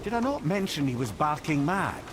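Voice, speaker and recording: Animated old Scottish storyteller. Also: male voice, fairly high pitch, moderate pace, noisy background. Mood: wry. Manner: theatrical, wry storytelling. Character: wry old male storyteller, learned and animated. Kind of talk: dramatic storytelling in a video game.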